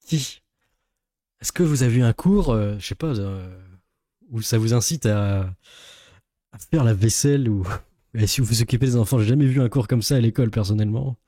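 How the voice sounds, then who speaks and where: A man's voice: mid-range voice, normal speed, dark tone, no room sound, studio quality. A man giving casual reaction commentary as a YouTuber, in a sarcastic, sceptical and rambling way.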